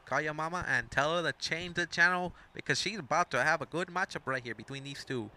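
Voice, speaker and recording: A man's voice: fairly high pitch, brisk, bright, forceful tone. A young man of Mexican background giving rambling, casual play-by-play commentary over a wrestling video game, full of asides and self-corrections.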